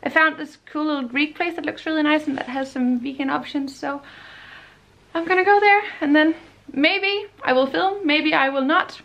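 A woman's voice: sits high, normal speed, vocal fry, fairly bright tone, dull audio. A woman, chatting casually to a handheld camera for a travel vlog. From Denmark. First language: Danish. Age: 20s. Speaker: travel vlogger. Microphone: handheld camera at arm's length.

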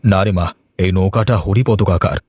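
A timid village dad in middle age, played by a man for a horror cartoon. He has a low, husky voice and talks quickly and fretfully, fond but easily scared. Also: a little mellow, no room sound. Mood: reluctant. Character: timid, superstitious village father, middle-aged, fond of his daughter. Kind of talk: animated horror story acting.

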